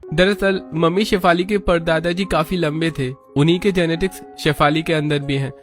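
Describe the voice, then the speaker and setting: A man's voice: on the high side, fairly even intonation, normal speed, soft background music, close to an everyday voice. A man voicing a stubborn, eager young man in love in a family cartoon, with an emphatic, pleading manner. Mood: explaining. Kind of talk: Hindi family cartoon dubbing.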